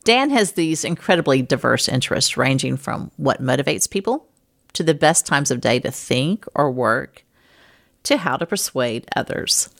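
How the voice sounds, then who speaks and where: Gravelly-voiced woman hosting an interview. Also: a bass voice, very animated, normal speed. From Louisiana and Texas, US. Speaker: show host. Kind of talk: interview over video call.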